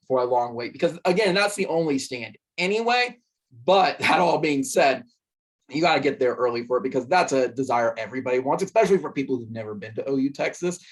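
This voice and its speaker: A young man with a hoarse voice, casually recapping a football game he attended, rambling with fillers. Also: a little high, rough recording. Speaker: sports podcast host.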